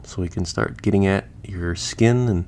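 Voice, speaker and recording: A man's voice: low-pitched, moderate pace, creaky, darkish tone, a little hiss or hum. A man playing a chatty, easygoing surgeon who patches up the undead, explaining casually as he works in a listener roleplay.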